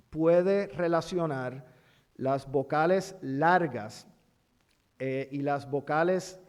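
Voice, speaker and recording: Male lecturer, Puerto Rican accent, raised pitch, unhurried and halting delivery. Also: a little mellow, clear, resonant tone. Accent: Puerto Rican Spanish. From Puerto Rico. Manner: halting, many fillers, spells things out slowly. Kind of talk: university lecture. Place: university amphitheater.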